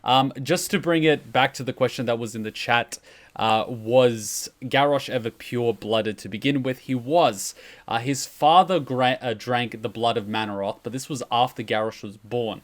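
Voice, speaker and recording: A man's voice: mid-range voice, moderate pace. A man with a mid-range voice narrates lore on a livestream. He explains things casually and conversationally, with a joking touch. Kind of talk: casual storytelling commentary on a livestream.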